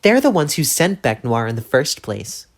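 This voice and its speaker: A man's voice: medium pitch, big pitch swings, normal speed, background noise. A man playing a smug scheming troll girl. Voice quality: taunting and sly. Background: music.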